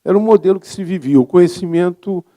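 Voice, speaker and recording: A man's voice: sits high, fast talker, dark tone. A Brazilian man in his seventies, a physician giving an informal, reflective talk as a presenter at a congress. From Rio de Janeiro, Brazil. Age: 70s.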